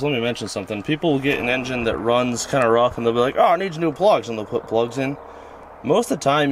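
A man's voice: medium pitch, slight background noise. A man hosting, casually explaining car repair step by step in a laid-back, rambling way, outdoors by a vehicle. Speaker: host and mechanic.

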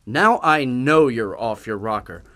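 A man's voice: medium pitch, expressive, a little slow, fairly bright tone, dry recording. A man playing a skeptical, easygoing young husband in an audio drama, reasoning with some exasperation. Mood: exasperated.

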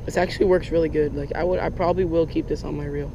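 A young man giving casual, excitable running commentary while fishing from a lake bank, recorded on a head-mounted action camera. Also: on the high side, fast talker, background noise. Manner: chatty, excitable, lots of "like" and "oh my gosh". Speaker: fishing vlogger. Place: outdoors on a lake bank in Florida.

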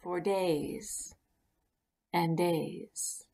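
A woman narrating a children's picture book aloud in an expressive, deliberate way. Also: on the low side, gravelly. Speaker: children's story reader. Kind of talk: read-aloud storybook narration.

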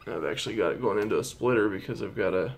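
A man casually showing off his hot tub in a garage, talking in a relaxed, rambling way with plenty of fillers, recorded on a handheld phone. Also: on the low side, fast, vocal fry, slight background noise. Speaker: product reviewer. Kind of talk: casual product walkthrough.

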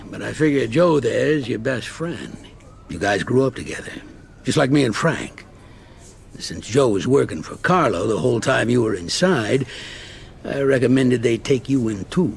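An actor playing a fatherly old mobster in a game cutscene, calm, knowing and unhurried. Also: medium pitch, vocal fry. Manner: avuncular, unhurried, streetwise.

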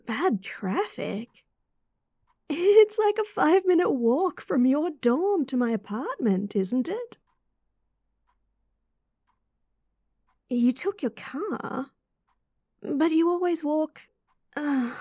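A woman with an Australian accent playing a caring, teasing young student best friend in a roleplay audio, soft and breathy. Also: fairly high pitch, dry recording, studio quality, exaggerated voice. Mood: teasing, welcoming. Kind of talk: roleplay audio acting, intimate and softly spoken.

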